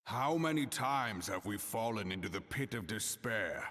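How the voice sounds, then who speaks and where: A man's voice: lowish voice, expressive, moderate pace, a bit bright. A man playing a proud, fervent military leader in a video game cutscene, with a formal, oratorical delivery. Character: proud, grandiose desert-city military leader, fervent and resolute. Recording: long echoing hall reverb.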